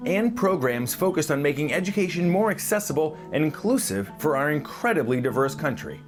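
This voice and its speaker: Lively male reporter over guitar music. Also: medium pitch. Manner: lively, playful reporter delivery. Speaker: reporter and interviewer. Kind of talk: news narration and interview questions.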